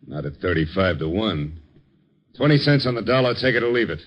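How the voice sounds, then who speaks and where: An actor playing a hard, cool gambling house croupier in an old time radio drama, terse and tough. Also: male voice, lowish voice, animated, brisk, lo-fi sound, natural voice. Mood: cold, challenging. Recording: old radio recording, crowd noise behind.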